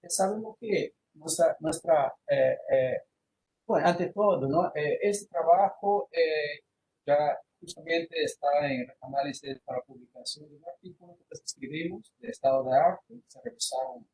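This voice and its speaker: A man's voice: fairly high pitch, animated, a little slow, a little mellow, slight background noise, poor audio. A man, giving an online university lecture with many fillers and restarts, slipping into Portuguese words. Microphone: computer microphone on a video call.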